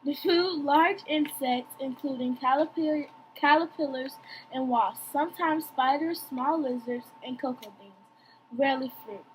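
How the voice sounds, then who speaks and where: A woman's voice: high voice, a little slow, poor audio. A woman reading a short nature fact sheet aloud for children. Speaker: reader of animal fact segment. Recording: noticeable background noise.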